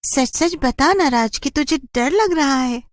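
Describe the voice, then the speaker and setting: An adventurous young village woman played by a woman in a story, bubbly and teasing. Her voice is very high and takes its time, recorded dry. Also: expressive, a little slow, no echo.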